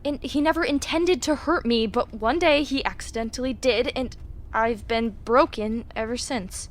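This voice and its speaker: A woman's voice: fairly high pitch, moderate pace, natural voice. A young woman playing a chatty, self-assured teenage girl in an audio drama, with a perky, teasing manner. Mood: confiding. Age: young adult.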